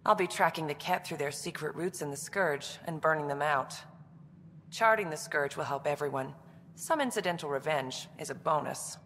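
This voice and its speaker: An actress playing a centuries-old alien commando in a video game, brooding, resolute and controlled. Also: female voice, low voice, normal speed, fairly bright tone. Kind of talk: dramatic video game acting. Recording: long reverb, faint background noise.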